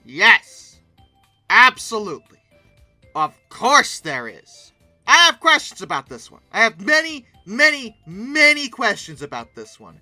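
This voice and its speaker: A host giving casual, humorous reaction commentary in an animated, theatrically incredulous way, prone to exclaiming. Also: medium pitch, measured pace, no room sound, soft background music. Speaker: video host commenting on old dinosaur art.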